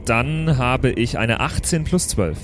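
A man with a medium-pitched voice takes his turn in a tabletop game. He speaks casually and haltingly as he works out his moves, with soft music in the background. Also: lively intonation. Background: faint background music.

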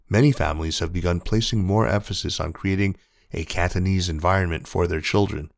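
Deep-voiced man narrating a news feature. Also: creaky, dry recording, clean studio sound. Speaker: article narrator.

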